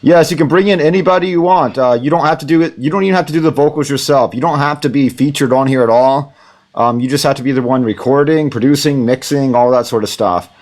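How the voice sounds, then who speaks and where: A man's voice: mid-range voice, quick pace. A man teaching audio production in a casual, rambling way from a home studio, with many fillers. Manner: rambling, relaxed, lots of fillers. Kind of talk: casual recorded tutorial.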